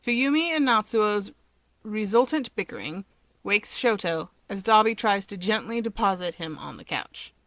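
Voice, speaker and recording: A woman's voice: medium pitch, lively intonation, normal speed, a bit bright, no echo. A woman who reads fan fiction aloud and chats informally to listeners as the host of her channel. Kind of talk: expressive storytelling and casual chat to listeners.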